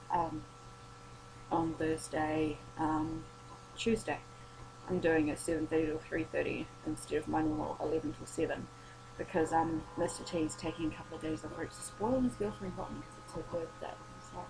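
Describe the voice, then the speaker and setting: A young woman talks in a low, worn, tired voice. She rambles and swears casually, losing her voice a little. A steady hum runs under the home recording. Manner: rambling, sweary, self-deprecating. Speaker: vlogger. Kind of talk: casual late-night chat.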